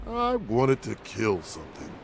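A man voicing a gruff, violence-loving video game brute with a gravelly voice and terse, blunt delivery. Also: mid-range voice, wildly swooping pitch, measured pace, slight background noise, exaggerated voice. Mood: blunt, eager. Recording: game audio under loud music.

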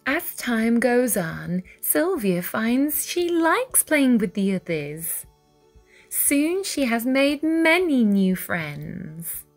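A woman reading a children's picture book aloud with deliberate, dramatic phrasing for young listeners. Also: fairly high pitch, big pitch swings. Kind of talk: expressive read-aloud storytelling. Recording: music behind the voice. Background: music.

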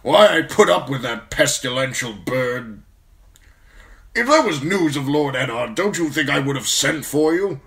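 Loud, grumbling old lord commander. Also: male voice, mid-range voice, expressive, measured pace, creaky, pitched above the performer's own voice. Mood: irritable. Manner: grumbling, blunt, weary disgust.

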